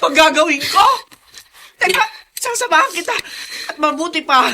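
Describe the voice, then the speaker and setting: A woman's voice: sits high, animated, moderate pace, very noisy. A woman playing a fussy, caring older housekeeper in a Filipino radio drama, fretful and given to exclamations. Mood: panicked.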